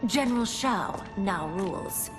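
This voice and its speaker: A woman's voice: medium pitch, animated, measured pace, big-room sound, lightly acted. A woman playing a proud, cunning young princess with a regal, formal delivery in a game cutscene. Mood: guarded. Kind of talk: dramatic video game cutscene acting. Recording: music behind the voice.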